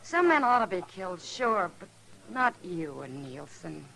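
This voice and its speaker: A woman's voice: lowish voice, very animated, measured pace, vocal fry, a bit bright, full character voice, poor audio. An actress playing a caring, worldly saloon woman in a radio western, earnest and plain-spoken, pleading yet resigned. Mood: pleading, concerned. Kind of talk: old-time radio western acting.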